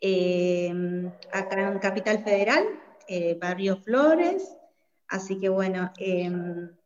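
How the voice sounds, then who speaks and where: Bolivian-born woman on a podcast, explaining stuff conversationally, middle pitch, kinda muffled lo-fi audio. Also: slow pace, background noise. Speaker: podcast guest, migration social worker. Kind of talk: conversational interview answers.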